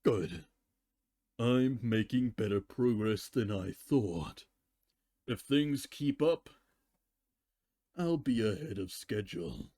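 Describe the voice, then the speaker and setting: Man acting out a young female storybook pony, cheerfully callous, nonchalant, unhurried, soft-edged mellow tone. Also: lowish voice, lively intonation, dry recording, clean studio sound, exaggerated voice. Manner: nonchalant, matter-of-fact.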